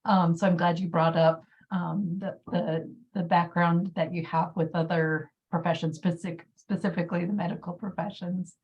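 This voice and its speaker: A woman's voice: lowish voice, flat delivery, normal speed, few pauses, a little mellow. A woman informally facilitating an online meeting, heard through a distant, dull microphone.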